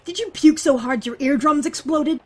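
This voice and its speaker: A woman playing a hot-tempered, foul-mouthed, skeptical survivor in an audio drama, biting and confrontational, with a husky voice. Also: high voice, normal speed, lightly acted. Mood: angry. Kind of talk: fan audio drama acting.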